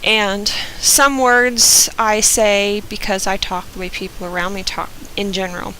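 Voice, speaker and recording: A young woman from Georgia with a softened Southern accent, chatting casually through an accent tag video with frequent "um" fillers. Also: mid-range voice, fairly even intonation, measured pace, a little hiss or hum, rough recording. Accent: Southern US, softened by years in Oregon. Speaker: vlogger.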